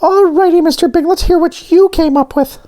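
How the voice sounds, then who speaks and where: A man voicing a small, eager male talking doughnut in a storybook, with a squeaky, childlike falsetto. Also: fairly quick, warm tone. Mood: eager, upbeat.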